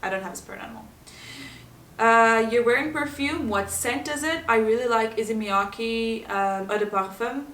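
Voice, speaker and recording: A woman's voice: a little high, normal speed, fairly bright tone. A chatty, giggly woman from South Africa with an accent, speaking Afrikaans as her first language, answering questions about herself. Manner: chatty and giggly, laughing often. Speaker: vlogger. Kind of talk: casual get-to-know-me chat. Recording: roomy echo, voice from a distance.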